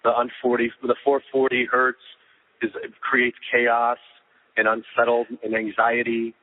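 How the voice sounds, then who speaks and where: A man co-hosting a casual podcast over the phone, giving firm opinions in a measured way with frequent restarts. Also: mid-range voice, monotone. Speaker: podcast co-host. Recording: tinny phone line.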